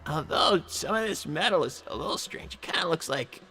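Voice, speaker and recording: A man voicing an excitable young male fox, an earnest, chatty inventor sidekick who stammers and rambles in live role-play. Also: on the high side, a little fast, breathy edge, exaggerated voice. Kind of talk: improvised in-character livestream role-play. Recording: long reverb, music behind. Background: music.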